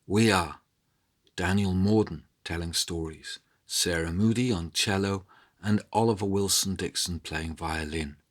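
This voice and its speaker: A man telling a story in a measured, dramatic way, with deliberate pauses between phrases. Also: low-pitched. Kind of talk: dramatic oral storytelling narration with music. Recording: cello and violin music behind.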